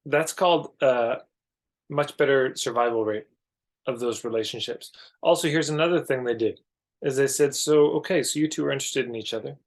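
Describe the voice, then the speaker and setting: A Canadian man with a mid-pitched voice speaks as a guest on a podcast. He explains his thinking conversationally and emphatically, often slipping into imagined dialogue to illustrate a point. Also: a little fast. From Alberta, Canada. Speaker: podcast guest, marketing coach.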